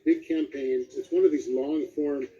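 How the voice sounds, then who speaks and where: A middle-aged man with a very dark, soft-edged voice talks as an interview guest. He tells his stories casually, with a dry, self-deprecating touch. The recording is dull and lo-fi. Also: fairly high pitch, steady tone, normal speed. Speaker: guest voice actor. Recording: dull, muffled lo-fi audio.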